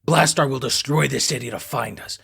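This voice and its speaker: A man voicing a grave, resolute alien rebel leader in a comic dub, earnest and formal, with a gravelly, husky voice. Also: mid-range voice, fairly quick, sharp tone, full character voice. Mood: urgent, resolute.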